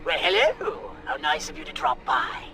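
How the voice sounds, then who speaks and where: A man playing an excitable, scheming, pompous alien commander in a video game, verbose and theatrical, prone to bursting into shouts. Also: extremely high pitch, normal speed, creaky, faint music behind, full character voice. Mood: dry greeting. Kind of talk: animated video game acting.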